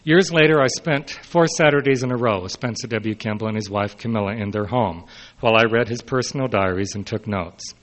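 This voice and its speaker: A mature man reads a prepared personal speech aloud in a measured way, with brief asides, as a historian addressing listeners. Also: on the low side. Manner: measured, announces quote and unquote, brief unscripted asides. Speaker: historian giving a talk.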